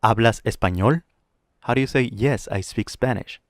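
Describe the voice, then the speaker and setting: A man teaching a Spanish lesson, modeling phrases clearly and slowly for the listener to repeat. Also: medium pitch, dry recording, studio quality. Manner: clear, slow model pronunciation with pauses to repeat. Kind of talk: language lesson with drills.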